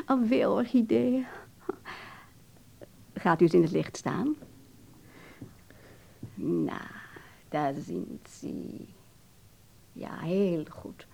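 A woman playing an imperious, seductive famous actress in a radio drama, with theatrical, drawn-out speech. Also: mid-range voice, gravelly, mellow, heavily acted.

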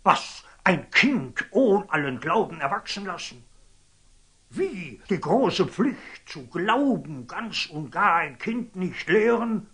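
A man's voice: high voice, big pitch swings, slow, bright, forceful tone, husky, full character voice. An actor playing a pompous, zealous and scheming old churchman in declamatory German radio drama style. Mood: outraged. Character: zealous, scheming, fanatical old churchman, shifting from outrage to fawning. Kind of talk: theatrical German radio drama acting of classic stage verse.

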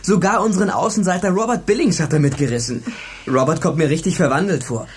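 A man's voice: a little high, quick pace, husky. A male actor playing an eager, headstrong teenage pupil in a radio drama, with a colloquial, insistent way of talking. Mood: enthusiastic, teasing. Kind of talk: German radio drama acting.

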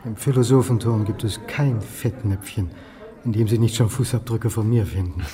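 An actor playing a self-doubting, middle-aged lecturer in a radio drama, muttering with dry, self-deprecating wit. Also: male voice, lowish voice, normal speed, dark tone, lightly acted. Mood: dry, evasive. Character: self-doubting, brooding, dry-witted male history lecturer. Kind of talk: naturalistic radio drama acting.

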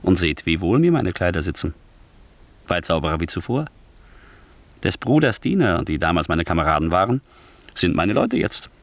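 A man's voice: deep voice, big pitch swings, normal speed, long pauses, no room sound, heavily acted. An actor playing a scheming, ambitious nobleman in a German radio verse drama, speaking in a theatrical, insinuating, rhetorical manner. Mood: scornful, conspiratorial. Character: scheming ambitious nobleman, smooth-tongued, ruthless tempter.

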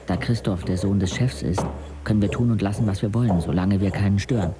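A man's voice: fairly low pitch, fast, natural voice. Man playing frank, reflective teenage boy narrator, noisy backdrop. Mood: matter-of-fact. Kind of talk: radio drama first-person narration.

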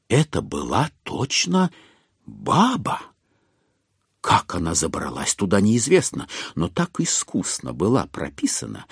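An actor narrating a classic satirical novel in an audio drama, with wry, deliberate emphasis. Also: male voice, medium pitch, a little mellow, husky, dry recording, studio quality, exaggerated voice.

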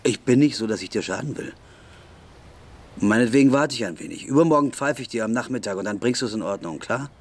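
A man's voice: mid-range voice, a little fast, lightly acted. An actor playing a bossy, taunting teenage boy who extorts younger kids, in a radio play. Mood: menacing, smug. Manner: taunting, bossy, mock-generous. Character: bullying teenage boy extortionist, sneering and commanding.

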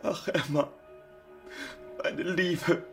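An actor playing a gentle, grieving provincial husband in a radio play, speaking in a subdued, halting way with a husky voice. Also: male voice, a little high, steady tone, faint music behind. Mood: tender.

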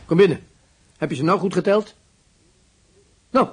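An actor playing a dry-witted prison camp commandant giving orders in a radio play. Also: male voice, sits high, moderate pace, slight background noise, lightly acted. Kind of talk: radio drama acting.

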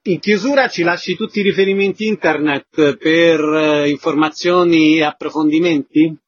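A man's voice: a little high, fairly even intonation, normal speed, husky. A man hosting a radio interview programme, introducing guests and asking for details. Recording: dull lo-fi radio audio.

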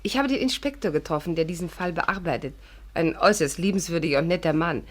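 An actress playing a clever, courteous amateur sleuth who also narrates a 1950s radio play, earnest and articulate. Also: female voice, on the low side, lively intonation, fast. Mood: eager, confiding. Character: clever, polite amateur sleuth bluffing as secretary. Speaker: heroine and narrator.

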